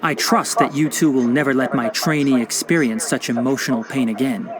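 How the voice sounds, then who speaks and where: A man voicing a calm, learned young male forest ranger and botanist in a video game, explaining things in a patient, precise, lecturing way. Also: mid-range voice, a little fast, crowd noise, close to an everyday voice. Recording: loud game soundtrack music behind.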